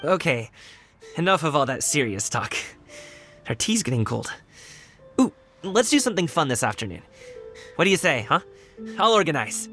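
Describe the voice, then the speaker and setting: A man's voice: a little high, big pitch swings, normal speed, long pauses, over music. A man playing a friendly young wushu dancer in an anime game, speaking in a breezy, sincere way. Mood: upbeat.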